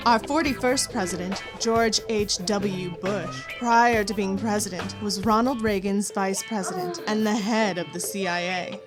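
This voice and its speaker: A woman's voice: mid-range voice, close to an everyday voice. A woman playing a matter-of-fact porn star lecturer over music. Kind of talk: scripted sketch comedy monologue. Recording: music under the voice.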